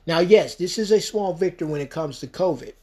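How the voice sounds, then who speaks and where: A man's voice: fairly high pitch, animated, fairly quick, a little mellow. A man in his thirties from the United States, talking alone on his podcast in a rambling, emphatic way, full of "you know" fillers. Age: 30s. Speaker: podcast host. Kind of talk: solo podcast monologue.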